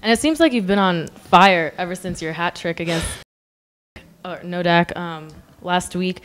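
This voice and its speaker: A woman's voice: fairly low pitch, measured pace. An American woman interviewing players and a coach at a post-game press conference, asking polite questions with a few ums. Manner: polite, with um fillers.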